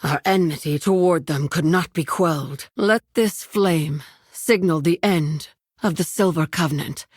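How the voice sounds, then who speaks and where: A proud elven ranger commander, speaks in a lowish voice. Her delivery is grave, formal and measured, as in a fantasy game drama, recorded close and dry. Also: breathy edge, dry recording. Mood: grave resolve. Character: proud, solemn elven ranger leader, grown woman.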